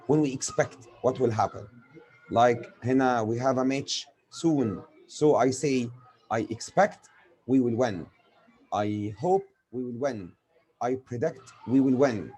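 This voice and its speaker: A middle-aged man teaches English grammar. His voice is mellow and dark, and he works through example after example. Now and then he drops in Arabic words. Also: medium pitch, poor audio. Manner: explains slowly with repeated examples, mixing in Arabic words. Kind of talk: grammar lesson.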